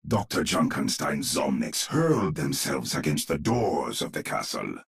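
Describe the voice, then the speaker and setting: Gravelly, theatrical gothic storyteller. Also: male voice, rumbling low, wildly swooping pitch, a little slow, exaggerated voice. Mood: ominous, measured. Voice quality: gravelly, husky. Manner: theatrical, ominous, savouring each phrase. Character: grave old storyteller man narrating a gothic horror tale, theatrical. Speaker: narrator. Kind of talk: dramatic scripted storybook narration for a video game.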